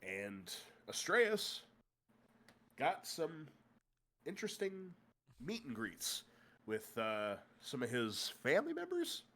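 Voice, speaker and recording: A man's voice: fairly high pitch, wildly swooping pitch, slow, long pauses, a bit bright. A man with a stuffy, congested nose, recapping the last session as game master in a loose, joking way with many fillers. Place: room with friends at a table.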